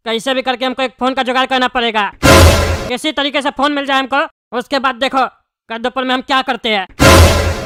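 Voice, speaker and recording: A high-voiced performer playing a hot-tempered, scheming bald father in jail, scolding in Bihari-style Hindi for a comedy cartoon. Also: sharp tone. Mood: determined, plotting. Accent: eastern Indian (Bihari-style) Hindi. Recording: clearly noisy background.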